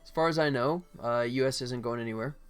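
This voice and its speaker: American male livestreamer, mid-pitched, informal and rambling, talking through his work over background music. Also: fairly quick, fairly bright tone, clear, resonant tone. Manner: rambling, thinking aloud. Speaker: livestream host, game developer. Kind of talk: casual livestream chat while working.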